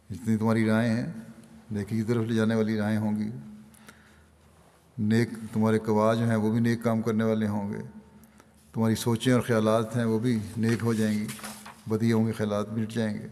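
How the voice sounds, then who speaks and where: An older man with a Pakistani Urdu accent delivering a Friday sermon, reading from notes at a podium microphone in a large mosque hall in a measured, solemn manner. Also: on the low side, warm tone. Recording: long reverb of a large hall.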